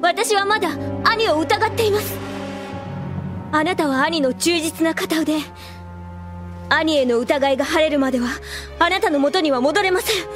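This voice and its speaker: A resolute young woman soldier with a very high voice, serious and formal. It is anime audio drama acting, set over music. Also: moderate pace, long pauses. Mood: grave, guarded. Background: background music.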